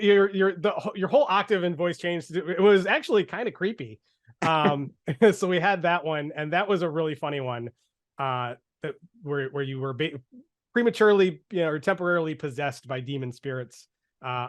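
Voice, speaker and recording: A man's voice: on the high side, normal speed, dry recording. A man co-hosting a podcast over a video call, chatting casually and laughing often. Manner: laughs often, restarts phrases. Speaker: podcast co-host. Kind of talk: casual chat.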